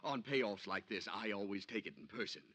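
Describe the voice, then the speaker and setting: A man's voice: lowish voice, animated, fairly quick, sharp tone, no echo. An actor playing a nervous, eager-to-please male insurance broker in a 1950s radio drama, speaking hesitantly and deferentially.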